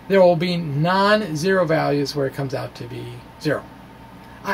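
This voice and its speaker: Creaky-voiced American man explaining physics. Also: high voice, a little hiss or hum, low-quality recording. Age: middle-aged. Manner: casual, halting, with thinking pauses. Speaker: physics video blogger. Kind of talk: informal physics lecture. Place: small cluttered indoor room with bookshelves. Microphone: microphone on a stand in front of him.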